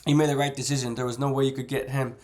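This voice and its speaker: A man with a cold reading aloud the steady, reassuring lines of a seasoned, scarred male dinosaur creature from a novel. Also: medium pitch, rapid-fire, close to an everyday voice. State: congested from a cold.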